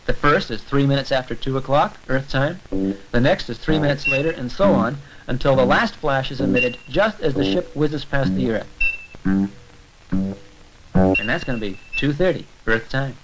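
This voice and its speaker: A man's voice: medium pitch, animated, normal speed, over music. A man narrating an educational science short in an informal, conversational lecture style, explaining step by step. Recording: noisy old 1970s film soundtrack with clicks.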